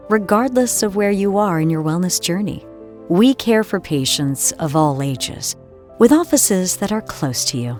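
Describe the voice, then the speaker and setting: A woman announcer reading scripted commercials in a smooth, measured, reassuring way. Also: lowish voice, darkish tone. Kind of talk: scripted ad read. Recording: music bed under voice.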